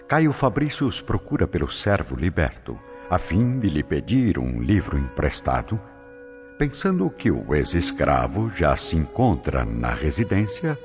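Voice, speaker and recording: A man narrating a radio drama in a measured, dramatic way. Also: very deep voice. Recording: dull audio with loud music.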